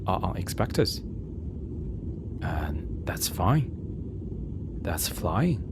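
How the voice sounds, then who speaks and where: Man playing a slow, deep, hushed airline captain. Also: heavily acted. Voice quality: soft, breathy, often near-whispered. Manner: slow, gentle, measured, with long pauses. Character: calm, reassuring male airline captain addressing night-flight passengers. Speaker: ASMR roleplay performer. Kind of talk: soothing ASMR roleplay monologue. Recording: steady rumbling aircraft cabin noise. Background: low engine rumble ambience.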